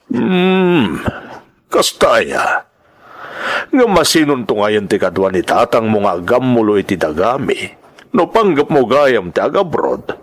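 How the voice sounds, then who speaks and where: A man playing an imposing, well-off older patron in a radio drama, measured and theatrical. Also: medium pitch, very animated. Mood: probing, stern. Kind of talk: Ilocano radio-drama acting.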